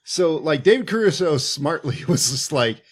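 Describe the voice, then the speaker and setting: A man's voice: a little high, expressive, moderate pace, breathy edge. A man co-hosting a movie podcast, joking through the plot and acting out characters with frequent laughter. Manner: laughs often, jokey, acts out characters. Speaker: podcast co-host. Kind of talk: casual movie recap chat.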